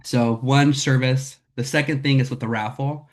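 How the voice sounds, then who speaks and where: A young man from Northern California, raspy from a cold, talking candidly to his team over a video call with lots of "um" and "like". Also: medium pitch, fairly even intonation, moderate pace. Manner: candid, filler-heavy, says "like" and "um" a lot. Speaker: sales rep presenting to a team. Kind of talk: casual talk on a video call.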